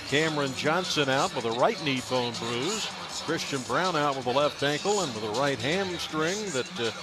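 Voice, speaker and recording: A man with an American accent doing live pregame commentary as a radio play-by-play announcer. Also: on the high side.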